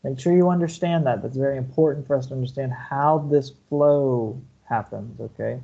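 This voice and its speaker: Male lecturer, mellow voice, muffled lo-fi call audio, patient step-by-step explaining. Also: medium pitch, normal speed, creaky. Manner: explanatory, checks in with "okay?" and "right?". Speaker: university computer science lecturer. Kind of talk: online lecture over shared slides.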